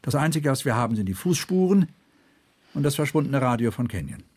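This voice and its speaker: A man's voice: medium pitch, very animated, a little fast, a little mellow. An actor playing a troubled, sleepless male murder investigator who broods and thinks aloud in a radio drama. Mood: brooding, weary. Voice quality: husky.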